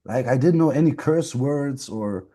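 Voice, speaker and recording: Mellow-voiced young man, slangy host. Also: medium pitch, measured pace. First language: Greek. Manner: relaxed, slangy, calls guest bro. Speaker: podcast host. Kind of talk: casual podcast conversation.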